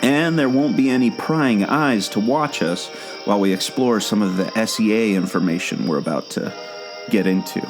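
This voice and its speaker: Casting: earnest jungle-guide turned amateur detective , played by a man, slightly deep voice, storytelling delivery for radio theater. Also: moderate pace, over music, lightly acted. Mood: purposeful. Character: earnest, obsessive amateur sleuth, male former jungle skipper. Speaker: podcast co-host. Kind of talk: scripted audio drama dialogue.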